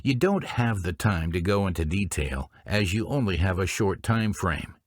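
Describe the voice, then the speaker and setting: A man presenting business training in scripted instructional narration, speaking in a formal, deliberate way. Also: low-pitched, lively intonation, no echo, studio quality.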